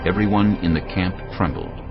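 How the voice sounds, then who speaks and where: A man narrating scripture in a steady, measured, grave manner. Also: low voice, vocal fry. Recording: dull lo-fi with music bed. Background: music.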